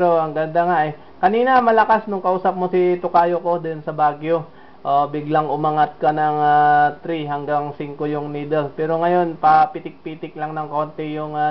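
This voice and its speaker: A man's voice: on the high side, muffled recording. A man from the Philippines talking with another amateur radio operator, speaking steadily and hesitating often. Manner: steady and unhurried, with frequent fillers. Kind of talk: casual ham radio contact.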